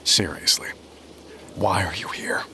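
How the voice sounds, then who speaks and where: An actor playing a weary, hunted rebel leader in an audio drama, grave and guarded, speaking in clipped phrases. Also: male voice, low voice, steady tone, unhurried, creaky, bright, forceful tone, whispered, heavily acted. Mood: exasperated. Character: weary, hunted male rebel leader and propagandist, earnest and irritable. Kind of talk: audio drama narration and dialogue. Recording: music underneath the voice.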